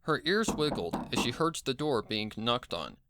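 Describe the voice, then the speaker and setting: An amateur narrator reading scripted fiction with expressive, measured storytelling. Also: mid-range voice, background noise.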